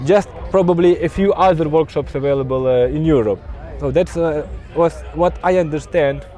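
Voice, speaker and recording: A man's voice: a little high, normal speed, dark tone. A man hosting an on-site interview at an outdoor event, hesitant and full of fillers and restarts. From Ukraine. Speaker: YouTube host interviewing at an event.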